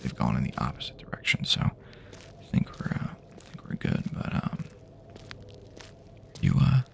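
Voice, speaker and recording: A man speaking softly and half-whispering in a halting, casual, rambling way, improvising an immersive ASMR roleplay as an apocalypse survivor. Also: a bass voice, big pitch swings. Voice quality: breathy, half-whispered, gravelly. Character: weary friendly male apocalypse survivor guiding a companion.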